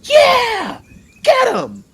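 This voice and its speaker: A man voicing an excitable, cheeky young squire boy in an audiobook, gleeful and impatient. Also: very high-pitched, drawn-out words, shouting, pitched above the performer's own voice, exaggerated voice. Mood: gleeful shouting. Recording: clear background noise.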